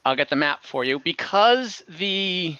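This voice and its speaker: A man's voice: on the high side, lively intonation, unhurried, pushed and bright, smooth, dry recording, highs cut off. A man running a tabletop game as game master, explaining the world in a conversational, improvised narration over an online call.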